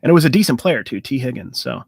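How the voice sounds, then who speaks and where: A man's voice: medium pitch, wildly swooping pitch, quick pace, creaky, darkish tone, husky, dry recording, studio quality. A man hosting a casual live-stream Q&A, talking in a loose, rambling way with restarts and fillers. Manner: enthusiastic about card hunting. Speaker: sports card channel host.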